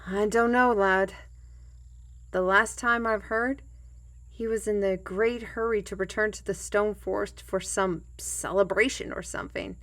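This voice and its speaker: Woman acting an unhurried, folksy fantasy trail guide. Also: mid-range voice, steady tone, fairly bright tone, lightly acted. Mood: fond, wry. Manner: folksy, hesitant, old-fashioned phrasing, addresses lad and lassie. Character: plain-spoken, humble fantasy trail guide and storyteller. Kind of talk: audio roleplay acting. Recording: music bed behind the voice.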